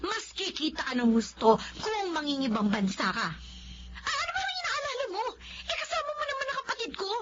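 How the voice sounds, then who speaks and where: A woman's voice: extremely high pitch, very animated, fairly quick. A woman with a Filipino accent acting in a radio drama as an ambitious, emotional wife and mother with a pointed manner. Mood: nagging, scornful. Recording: muffled audio with faint background noise.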